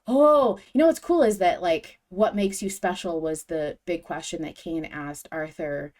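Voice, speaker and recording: A woman's voice: fairly low pitch, measured pace, darkish tone. A woman hosting a podcast, chatting casually as a fan in an informal discussion. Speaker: podcast host.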